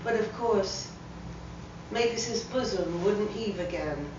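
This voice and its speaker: Female story reader, dramatic literary narration, unhurried, higher-pitched, heard in a very reverberant room. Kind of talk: dramatic short story reading. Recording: very echoey room, distant mic, slightly dull, faint noise.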